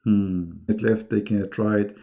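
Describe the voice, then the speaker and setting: Gravelly, sarcastic man, English not his first language. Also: lowish voice, level tone, unhurried, dark tone. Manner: sarcastic, mocking, repeats phrases for emphasis. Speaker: host of a religious debate stream. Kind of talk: live debate stream.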